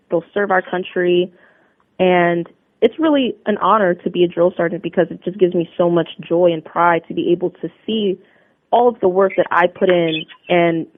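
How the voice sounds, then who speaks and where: A woman's voice: fairly low pitch, no echo. Young woman on a tinny phone line. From New Jersey, USA. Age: young adult. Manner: upbeat, earnest and polished. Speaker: interview guest, Army Reserve drill sergeant. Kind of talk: radio interview answers. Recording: narrow phone-line sound, dry.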